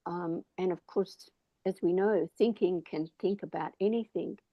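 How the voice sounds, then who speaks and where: A woman's voice: fairly low pitch, moderate pace, no room sound. Woman thinking aloud in a group chat, hesitant, muffled lo-fi sound, dry. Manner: thoughtful, hesitant, rambling. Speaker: discussion participant. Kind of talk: casual discussion.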